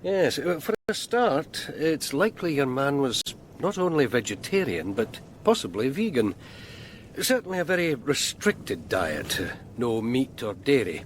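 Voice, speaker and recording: An actor playing a genial Scottish pathologist in a radio drama, dryly humorous, with a hesitant, measured delivery. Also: male voice, mid-range voice, sing-song, slight background noise. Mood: chatty, informative. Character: chatty, genial male pathologist reporting findings.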